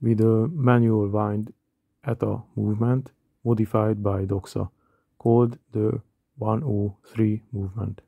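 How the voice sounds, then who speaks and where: A man in his thirties from Hungary with a Hungarian accent, calmly narrating a scripted voice-over about watches. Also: on the low side, a little slow, gravelly, husky, no room sound, phone-quality sound. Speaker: watch collector.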